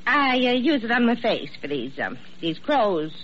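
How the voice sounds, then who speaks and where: An actress playing a scatty, put-upon nurse in vintage radio comedy-drama, flustered and hesitant. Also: female voice, on the high side, very animated, moderate pace. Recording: muffled old radio recording, faint noise.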